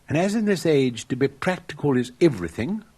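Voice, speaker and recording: A man's voice: a little high, very animated, brisk, dark tone, breathy edge, voice pushed up. A man voicing an earnest, pompous young male student in a storybook fairy tale, with music behind. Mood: disillusioned.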